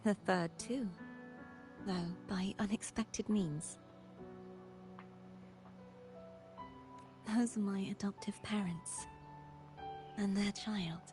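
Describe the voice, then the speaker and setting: A woman playing a gentle young knight in a video game, speaking in a measured, softly formal, wistful way. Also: medium pitch, fairly even intonation, natural voice. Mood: earnest. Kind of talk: scripted video game voice acting. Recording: game audio with music underneath. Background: music.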